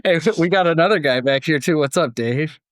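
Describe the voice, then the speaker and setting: A man's voice: on the high side, fairly quick, a bit bright, no room sound, clean studio sound. A man with an American accent hosting a podcast in a chatty, jokey, casual way. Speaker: podcast host.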